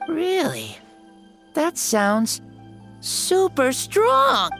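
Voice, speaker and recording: A woman's voice: sits high, huge pitch swings, very slow, creaky, a bit bright, heavily acted. A woman playing a wide-eyed, eager small child in a video game, asking naive, wondering questions. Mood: curious, delighted. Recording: music behind the voice.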